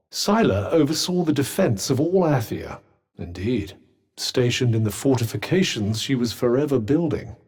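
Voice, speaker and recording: A man's voice: mid-range voice, a little mellow, breathy edge. A male actor voicing a haughty, erudite magical cuff in a video game, with a British accent and a dry, sarcastic, pompous manner. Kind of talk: video game companion dialogue.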